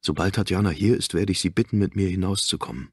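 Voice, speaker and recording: Thriller audiobook role: cautious, uneasy doctor, low register, restrained and courteous played by a male reader. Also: fast, husky, no echo, clean studio sound. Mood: hushed, urgent. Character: nervous, polite male psychiatrist, uneasy and cautious.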